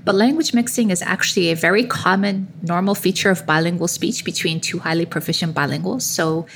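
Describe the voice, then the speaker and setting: A woman researcher explains her work in a relaxed, fluent flow, leading into points with "now" and the odd filler. Her voice is slightly low, with faint background hiss. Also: brisk, gravelly. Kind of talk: explanatory interview answers.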